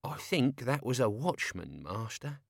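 A man's voice: mid-range voice, very animated, unhurried, vocal fry, dry recording, studio quality, full character voice. A man voicing an old male servant in a grumbling, matter-of-fact way.

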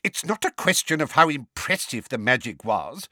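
A man's voice: a little high, big pitch swings, moderate pace, fairly bright tone, dry recording, clean studio sound, voice pushed up. A man voicing a pompous, testy middle-aged government minister in an officious, declamatory manner for a storybook.

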